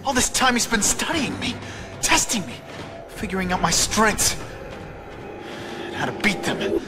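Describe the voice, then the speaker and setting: A young superhero in a video game. The voice sits high and swings widely in pitch, quippy and indignant with a sarcastic edge. Music plays behind it. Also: male voice, sing-song, measured pace. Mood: troubled, determined.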